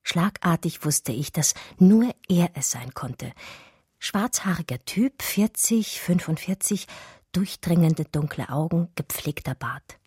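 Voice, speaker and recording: A dreamy romantic woman art historian, husky intimate radio play narration, bone dry sound. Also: lowish voice, lively intonation, takes it slowly, a little mellow, no echo, heavily acted. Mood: fascinated. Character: romantic, daydreaming female art historian recalling an infatuation. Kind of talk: radio drama first-person narration, literary.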